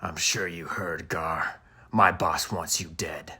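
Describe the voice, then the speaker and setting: An actor playing a menacing, exasperated gang enforcer, streetwise and profane, in an audio drama. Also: male voice, low-pitched, steady tone, slow, pushed and bright, breathy edge, exaggerated voice. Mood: coolly threatening. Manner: threatening, persuasive, streetwise.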